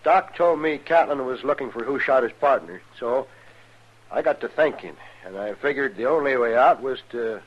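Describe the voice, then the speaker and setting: An earnest, shaken frontiersman, played by a man in a radio western. He speaks gravely and haltingly at medium pitch, the recording dull and old. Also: expressive, natural voice. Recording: muffled old radio broadcast.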